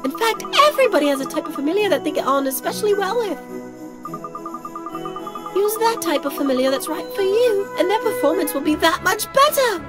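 Woman playing a very high, eager young game girl. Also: expressive, a little fast. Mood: bright, instructive. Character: cheerful, eager young girl who tames familiars. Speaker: character voice in a game playthrough. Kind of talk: acted game tutorial dialogue. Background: prominent game music.

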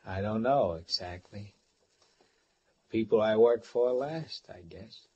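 A man's voice: lowish voice, expressive, takes it slowly, a little mellow, slightly rough, lo-fi sound, exaggerated voice. An actor playing a paranoid, menacing handyman in an old radio thriller, with a controlled, threatening manner. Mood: brooding paranoia. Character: menacing, paranoid handyman holding a woman captive. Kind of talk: 1940s-50s radio thriller acting. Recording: noisy old-time radio recording.

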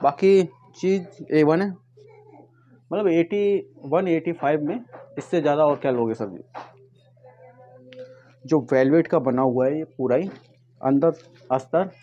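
A man casually pitching products to camera, often addressing viewers as friends and leaning on repeated verbal tics. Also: unhurried, long pauses, mellow, muffled recording. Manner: repetitive filler phrases, addresses viewers as friends. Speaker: vlogger and shoe seller. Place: tiled room at home with stock boxes, indoors. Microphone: clip-on lapel mic. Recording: noticeable background noise, clicks.

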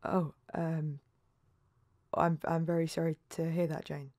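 An actress playing a kindly, flustered cold caller in an audio drama, hesitant and stammering with concern. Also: female voice, low voice, little pitch movement, a little slow, a little mellow, no room sound, clean studio sound. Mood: awkward, concerned. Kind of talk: naturalistic audio drama acting.

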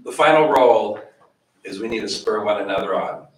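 A middle-aged man teaching a Bible study in a small church room, animated, with questions for the audience. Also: fairly low pitch, a little fast. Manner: animated, gestures widely, asks the audience questions. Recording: echoey room, voice from far off.

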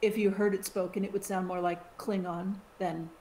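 A woman's voice: medium pitch, normal speed. Chatty woman in a roomy room. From United States. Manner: chatty, animated, with many restarts. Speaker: podcast co-host. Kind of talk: casual podcast chat. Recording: roomy sound with faint hiss.

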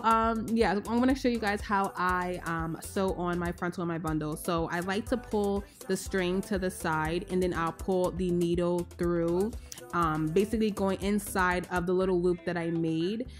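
A young woman, a little hoarse from a cold, chatting casually through a step-by-step hair tutorial voice-over. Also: mid-range voice. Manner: chatty, relaxed, explains step by step with "like" and "um". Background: faint background music.

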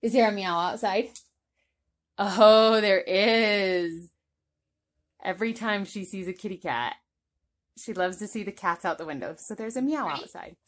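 A woman in her thirties, narrating a casual home cleaning vlog and speaking to her toddler in a gentle sing-song way, in a small bedroom with a camera across the room. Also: mid-range voice, normal speed, pauses often. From United States. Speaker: vlogger and mother. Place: small apartment bedroom indoors. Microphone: camera set up across the room.

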